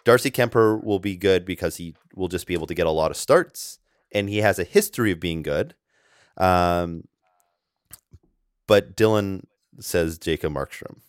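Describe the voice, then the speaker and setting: A man's voice: deep voice, normal speed, clean tone, no room sound, studio quality. A man co-hosting a casual fantasy hockey podcast, rambling with lots of fillers and restarts. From half Japanese. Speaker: podcast co-host.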